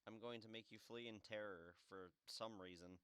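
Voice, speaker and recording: A man's voice: fairly low pitch, steady tone, moderate pace, a bit bright, clear, resonant tone, no echo. A man doing casual, playful let's play commentary, joking wryly as he plays a game. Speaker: gameplay commentator playing the game.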